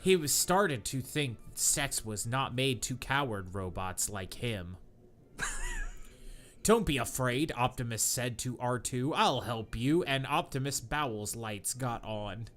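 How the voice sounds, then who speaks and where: A man's voice: medium pitch, wildly swooping pitch, slow, fairly bright tone, a little hiss or hum. A man hosting a comedy read-aloud, stumbling over clumsy prose with incredulous, mocking asides and a tendency to crack up. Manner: breaks into laughter and stumbles while reading. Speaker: podcast host reading aloud. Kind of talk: comic read-aloud of bad fan fiction with asides.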